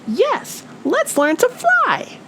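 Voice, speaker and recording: A woman's voice: very high voice, wildly swooping pitch, very slow, very noisy, voice pushed up, heavily acted. A woman voicing an eager, confident little girl bird in a children's storybook, chirpy and encouraging.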